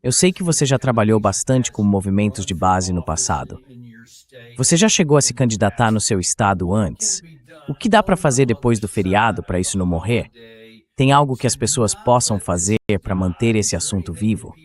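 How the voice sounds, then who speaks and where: A man's voice: fairly low pitch, animated, moderate pace. A man with a synthetic dubbed voice, putting questions as a political interview host. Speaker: talk show host.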